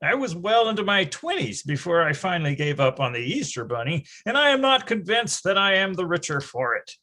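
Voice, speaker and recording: A man's voice: high-pitched, very animated, brisk, pushed and bright, exaggerated voice. A man playing a wry grown-up looking back on boyhood, narrating in an emphatic, mock-grandiose storytelling manner for readers theatre. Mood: wry nostalgia.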